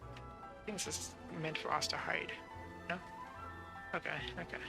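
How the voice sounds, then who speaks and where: A man's voice: on the high side, steady tone, unhurried, vocal fry. A man streaming a game with casual commentary, grumbling and talking to himself as he plays. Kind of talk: casual live gameplay commentary. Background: game music.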